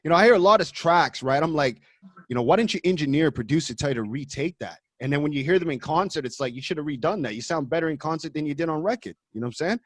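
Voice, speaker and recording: A man hosts a radio interview in a loose, casual way, often checking in with "you know what I mean". His voice sits a bit high for a man. The sound is dry and close, with no room around it. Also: animated, fast, dry recording. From Canada. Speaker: radio show host.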